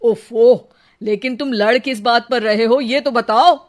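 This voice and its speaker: Exasperated young husband played by a woman, pitched down, weary peacemaker in a cartoon family drama. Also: fairly even intonation, measured pace, natural voice. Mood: bewildered, reproachful. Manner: pleading, mildly exasperated. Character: weary young husband caught between wife and mother.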